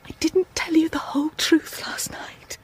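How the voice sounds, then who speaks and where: A woman acting a frightened, haunted wife in an audio drama, speaking intensely and haltingly, often close to a whisper. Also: high-pitched, fairly even intonation, faint music behind, natural voice. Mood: haunted, fearful. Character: frightened, haunted wife, fixated on a phantom boat.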